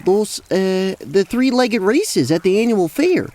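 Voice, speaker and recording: A man's voice: sits high, animated, unhurried, a little mellow, a little hiss or hum. A man playing a nervous, guilt-ridden, earnest former fiancé who stammers in an acted fantasy audio drama. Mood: earnest, flustered. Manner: stammering, hesitant.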